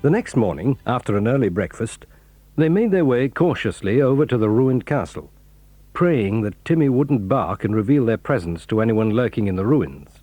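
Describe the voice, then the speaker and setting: A man narrates a children's story in a calm, expressive way. His tone is mellow and his delivery rises and falls in a lively way, with faint music underneath. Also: medium pitch, normal speed, husky, no room sound.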